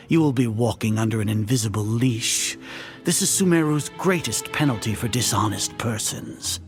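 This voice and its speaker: An actor playing a haughty older grand sage, a cold scheming video game villain who talks in a pompous, condescending way. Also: male voice, mid-range voice, vocal fry.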